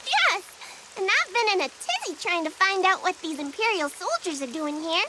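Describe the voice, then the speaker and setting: Fantasy game role: friendly lady trader, high swooping voice, chatty, over music. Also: sing-song, normal speed, heavy background noise. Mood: relieved, bubbly. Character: chirpy, chatty female trader, friendly and fussy. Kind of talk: anime fantasy game voice acting.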